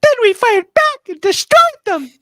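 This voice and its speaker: A woman's voice: extremely high pitch, sing-song, moderate pace, no echo, clean studio sound. A woman playing an impatient, combative starship officer in an amateur sci-fi radio play.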